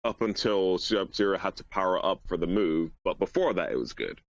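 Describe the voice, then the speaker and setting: A man's voice: sits low, animated, brisk, dry recording, lo-fi sound. A man chatting casually as a panelist on a podcast. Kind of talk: casual chat.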